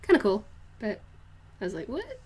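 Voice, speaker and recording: A woman's voice: medium pitch, wildly swooping pitch, normal speed, a little mellow, husky. A young woman with a mixed northeast English and New Zealand accent, chatting casually and ramblingly to viewers on a livestream, with a giggly, informal manner. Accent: hybrid northeast English and New Zealand. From northeast England, moved to New Zealand as a child. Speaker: art livestreamer.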